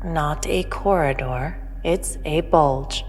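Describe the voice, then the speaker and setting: Woman as an otherworldly, low, slow, all-knowing female entity. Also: slightly rough, exaggerated voice. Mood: cool, dismissive. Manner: measured, formal, pronouncing. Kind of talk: sci-fi audio drama. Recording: long reverb over music.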